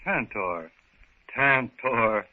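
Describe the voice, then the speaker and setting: An actor playing a solemn, halting jungle-raised wild man in a 1930s radio serial, on a thin old recording. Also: mid-range voice, lively intonation, narrow, tinny audio, background noise. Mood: solemn, tender.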